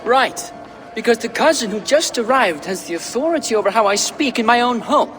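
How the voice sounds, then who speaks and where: An actor playing a defiant, cocky seventeen-year-old Viking prince in a video game, brash and sarcastic. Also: male voice, very high-pitched, animated, fairly quick, fairly bright tone, faint music behind. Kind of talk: video game cutscene acting.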